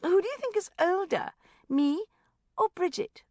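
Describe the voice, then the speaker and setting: A woman's voice: extremely high pitch, animated, a little slow, warm tone, no echo, pitched above the performer's own voice. A woman voicing a gushing, maniacal grown woman who trills and gabbles playfully at a child, as an audiobook character.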